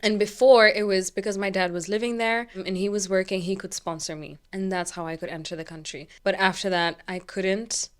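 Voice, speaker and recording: A woman in her twenties from Dubai, chatting frankly and quickly as an interview guest. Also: mid-range voice, steady tone, smooth. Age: 20s. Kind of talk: casual interview chat.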